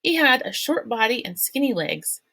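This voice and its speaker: Woman reader, children's novel read-aloud, steady storytelling, dry sound. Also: a little high, moderate pace, gravelly, no echo.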